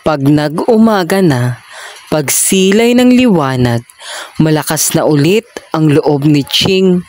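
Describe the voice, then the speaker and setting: A person reading a children's story aloud in a measured, deliberate storytelling manner. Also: medium pitch, animated, darkish tone.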